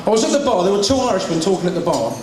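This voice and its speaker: Rapid-fire comedian in an echoey hall. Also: male voice, high voice, sing-song, darkish tone, a little hiss or hum. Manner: rapid-fire joke telling, voices characters in jokes. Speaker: stand-up comedian hosting a charity fundraiser. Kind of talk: stand-up comedy routine. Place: large hotel function room with audience. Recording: distant, very echoey hall with crowd. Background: audience laughter.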